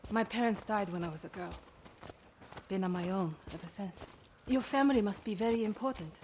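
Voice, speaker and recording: A woman's voice: mid-range voice, brisk, darkish tone, a little hiss or hum, natural voice. An actress playing a sly, hardened young woman archer in a feudal Japan video game, with a wry, teasing manner. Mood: wry, guarded. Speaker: game character actor. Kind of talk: dramatic video game acting.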